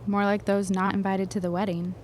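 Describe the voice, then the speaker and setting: A woman's voice: mid-range voice, little pitch movement, brisk, a little mellow, close to an everyday voice. A woman playing a wry, strong-willed young woman in a period audio drama. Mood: wry, knowing.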